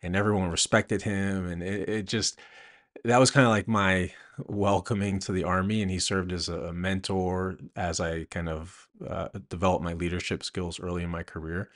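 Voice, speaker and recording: A man answering interview questions conversationally as an author and host, thinking aloud haltingly with many a "you know". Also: sits low, flat delivery, fairly bright tone.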